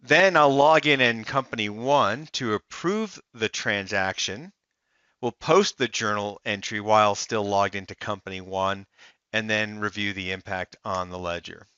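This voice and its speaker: A man narrating a software demo as a voice-over, explaining it step by step in the first person. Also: fairly low pitch, a little slow, smooth, no room sound, lo-fi sound. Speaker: software demonstrator.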